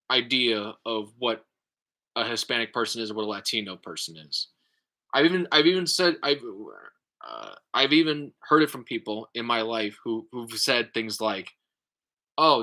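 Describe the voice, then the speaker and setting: Guy, vlogger, bright edgy tone, stop-start unscripted musing full of "like" and "uh". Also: mid-range voice. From United States. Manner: halting, lots of fillers and restarts; explanatory, thinking aloud. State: tired. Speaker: YouTube creator. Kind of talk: casual response vlog.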